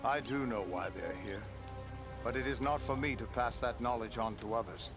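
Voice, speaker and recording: A man's voice: mid-range voice, over music, close to an everyday voice. Man playing a grave, resolute ranger captain. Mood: earnest counsel. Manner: formal, grave, deliberate. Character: dutiful male ranger leader, sober and resolute. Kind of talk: fantasy game voice acting.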